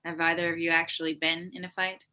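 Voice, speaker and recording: A woman acts out a teenage girl in a comedy table read. She is level-headed and unimpressed, speaking in a crisp, bright voice with dry, deadpan delivery. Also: fairly low pitch, quick pace. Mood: exasperated.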